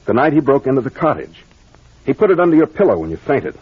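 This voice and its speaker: Dull vintage radio recording, confident police captain, brisk explanatory manner, very animated pitch, mystery drama. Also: male voice, husky, no room sound. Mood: explaining. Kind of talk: old-time radio mystery acting.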